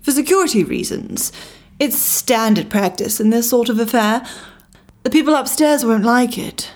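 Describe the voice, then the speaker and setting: An actress plays a British woman spy in an audio drama. Her voice sits on the high side. She is brisk and impeccably formal, with genteel manners that carry clear condescension. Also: a little mellow, lightly acted. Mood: prim politeness.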